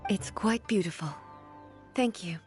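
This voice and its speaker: A woman playing a serene, grave immortal ruler in a video game, speaking in a formal, measured and regal manner. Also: mid-range voice, a little mellow, faint music behind, natural voice. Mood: calm, accepting. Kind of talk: scripted video game dialogue.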